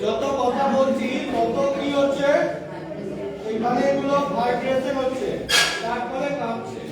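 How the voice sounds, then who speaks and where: A man's voice: very high voice, level tone, a little slow, over a noisy crowd. A man teaching a science class, repeating points and questioning the class. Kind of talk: classroom lesson with demonstration. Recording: echoey noisy room, distant mic.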